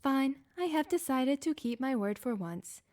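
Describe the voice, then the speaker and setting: A woman voicing a cold, sarcastic female AI who runs a testing facility, speaking slowly and condescendingly. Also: sits high, lively intonation, a little mellow, pitched above the performer's own voice. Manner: slow, measured, condescending.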